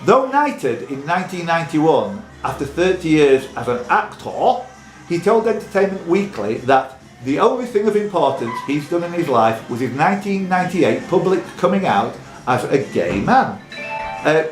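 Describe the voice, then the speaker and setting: A man's voice: on the high side, sing-song, moderate pace. A man hosting a live pub quiz, reading questions and chatting teasingly with contestants. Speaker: quiz host. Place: echoey room in a pub. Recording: roomy echo, music in background.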